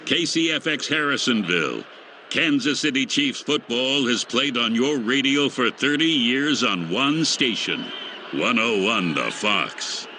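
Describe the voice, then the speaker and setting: A man's voice: mid-range voice, animated, unhurried, bright, forceful tone, a little hiss or hum. An American man reading a scripted station identification as a radio promo announcer.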